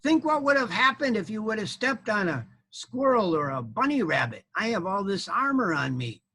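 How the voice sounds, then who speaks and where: A man's voice: high-pitched, big pitch swings, moderate pace, sharp tone, slightly rough, voice pushed up, heavily acted. A man voicing a grumpy, indignant armadillo in a children's story, complaining as he talks. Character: grumpy armadillo stepped on by a knight.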